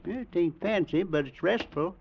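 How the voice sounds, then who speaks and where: Folksy old rural American innkeeper, high voice, friendly, unhurried, on a dull, slightly hissy vintage radio play. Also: male voice, vocal fry. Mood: folksy, hospitable. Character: friendly, folksy old country hotelkeeper, male. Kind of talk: 1940s radio drama acting. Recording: dull, faintly noisy vintage radio recording.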